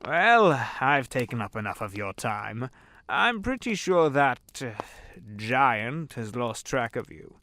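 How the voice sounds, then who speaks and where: A man playing the Devil dressed up as a smooth older tycoon, all pompous, fatherly bluster. His voice swoops high and low with relish. It sounds like a fan-made audio drama. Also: very animated, slow pace, sharp tone, slight background noise, heavily acted. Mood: breezy. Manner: pompous, avuncular, theatrical bluster. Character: the Devil posing as a genial older businessman.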